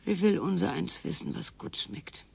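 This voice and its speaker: An actress playing a tired, sharp-tongued wife in her sixties in a radio play, slow and husky, grumbling half under her breath. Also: on the low side, heavily acted. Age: 60s. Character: weary long-married wife, sharp-tongued.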